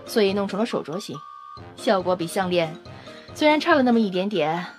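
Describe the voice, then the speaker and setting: A woman playing a confident, teasing scientist in an audio drama, brisk and matter-of-fact with a playful, self-satisfied manner. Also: mid-range voice, very animated, faint music behind, close to an everyday voice. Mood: casual, appraising. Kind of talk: Chinese audio drama acting.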